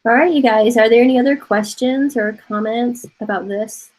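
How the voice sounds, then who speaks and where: A woman's voice: a little high, normal speed, darkish tone. A woman in her forties from Taiwan, a native Mandarin speaker, teaching an online college art class from home in a chatty, encouraging way, often laughing and thinking aloud. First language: Mandarin Chinese. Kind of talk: casual online class instruction. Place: home room with bookshelves. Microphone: webcam on a video call.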